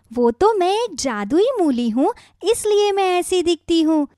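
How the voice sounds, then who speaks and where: A woman's voice: very high-pitched, a little mellow, dry recording, clean studio sound. A woman voicing a kindly, reassuring magic radish in a children's moral story. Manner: reassuring, caring. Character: kindly, helpful female magic talking radish.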